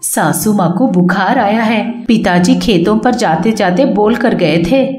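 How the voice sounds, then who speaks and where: A woman voicing a kind, trusting elder daughter-in-law who speaks gently and reassuringly. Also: mid-range voice, a little slow, soft background music, natural voice. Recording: echoey room.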